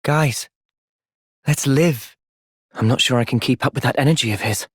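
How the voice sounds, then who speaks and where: A man with a British accent playing a thoughtful, gentle young soldier in video game cutscenes, measured and earnest. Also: mid-range voice, slightly rough, no room sound, clean studio sound, natural voice. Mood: quiet, pensive.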